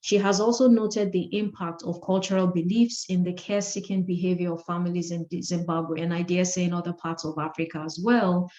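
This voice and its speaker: Woman, co-host, formal introductions, lowish voice, echoey room, dull lo-fi sound. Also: steady tone, dark tone. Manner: measured, formal introducing with "um" fillers. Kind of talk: reading guest introductions.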